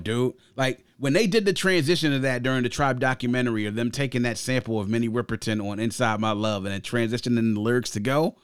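A man's voice: medium pitch, clear, resonant tone, dry recording, clean studio sound. A man hosting a music podcast, giving casual, opinionated album commentary in an emphatic, slangy way. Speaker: podcast host reviewing music.

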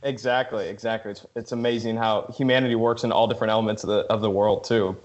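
A man's voice: on the low side, fairly even intonation, quick pace, lo-fi sound. Enthusiastic male podcast host. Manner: enthusiastic, effusive. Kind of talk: podcast interview, casual introduction.

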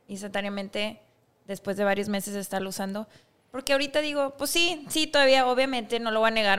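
A woman's voice: on the high side, fairly bright tone, clean tone. A woman of about forty with a northern Mexican accent, talking candidly and emphatically in slangy, casual podcast conversation. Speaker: musician, podcast guest.

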